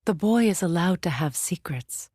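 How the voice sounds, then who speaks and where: An actress voicing a proud, battle-hardened Norse goddess in a video game, with a measured, commanding and dry manner. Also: female voice, on the low side, expressive, darkish tone, husky, dry recording. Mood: measured, stern.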